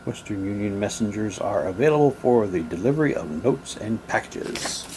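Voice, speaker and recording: A man's voice: on the low side, expressive, normal speed, background noise. A man doing a casual unboxing, talking in a rambling, repetitive way and reading printed items aloud. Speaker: hobby vlogger unboxing audio drama sets.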